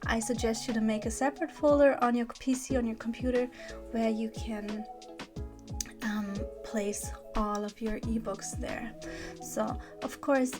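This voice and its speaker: A woman's voice: on the high side, steady tone, unhurried. A woman with a German accent, a native German speaker, explaining a website step by step in a patient, casual way with many small fillers and check-ins. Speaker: bag pattern designer. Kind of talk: screen-recorded website walkthrough. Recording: voice-over with music behind. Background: background music.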